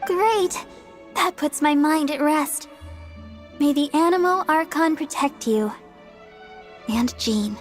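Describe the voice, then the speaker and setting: A sweet young church healer in an anime game. The voice is very high and girlish, gentle and earnest, with faint music underneath. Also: slow, long pauses. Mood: relieved.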